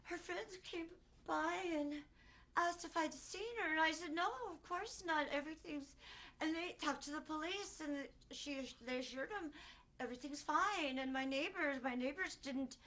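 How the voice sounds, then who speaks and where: An actress performing a stage monologue as a distraught older grandmother who protests her innocence in halting, repetitive speech. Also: high voice, pushed and bright. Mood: rambling, fond. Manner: halting, stammering, repeating herself.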